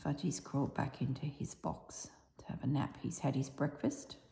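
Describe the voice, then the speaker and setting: An Australian woman with a husky, slightly strained voice chatting casually while she stitches, softly dropping toward a whisper at times. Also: rumbling low, level tone, normal speed. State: voice strained from yelling. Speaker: embroidery vlogger. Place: tiled room with little on the floor. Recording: echoey tiled room.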